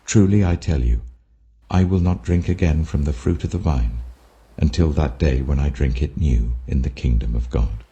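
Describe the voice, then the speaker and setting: British man playing grave authoritative teacher, dark husky voice, solemn, deliberate, emphatic, scripture audiobook. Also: very deep voice, lo-fi sound, full character voice.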